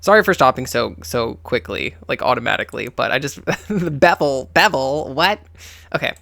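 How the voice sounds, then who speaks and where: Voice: mid-range voice, animated, normal speed, vocal fry, fairly bright tone. A host giving casual reaction commentary in a campy, sassy way, with slangy exclamations and repetition for emphasis. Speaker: host of a gaming reaction channel.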